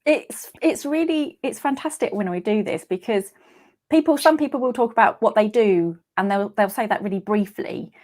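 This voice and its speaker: A woman's voice: on the high side, moderate pace, darkish tone. A woman hosting an online video-call session, chatty and giggly, with plenty of ums. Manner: chatty, giggly, many fillers. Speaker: host.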